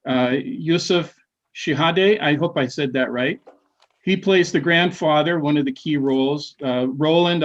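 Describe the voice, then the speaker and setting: A middle-aged man, a history professor and playwright, talking casually on a video call, halting with many restarts and fillers. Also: fairly high pitch. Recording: dull lo-fi call audio.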